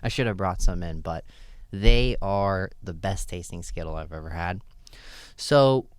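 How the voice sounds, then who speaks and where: Deep-voiced man, relaxed and chatty. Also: unhurried, smooth, no echo. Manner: relaxed, loose, many fillers and "right?" tags. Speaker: store owner, guest. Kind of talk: casual interview answers.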